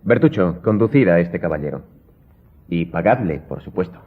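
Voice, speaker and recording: A man's voice: low-pitched, animated, moderate pace, noisy background. An actor with a Spanish accent playing an imperious, secretive count in a radio drama, curt and formal as he gives commands. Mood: haughty impatience. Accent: Spain. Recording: muffled audio, faint music behind.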